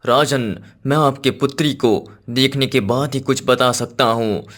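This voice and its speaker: A man voicing a solemn, measured holy sage. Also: medium pitch, steady tone, fairly bright tone, lightly acted. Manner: formal, measured, Sanskritized diction. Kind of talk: cartoon moral-story acting.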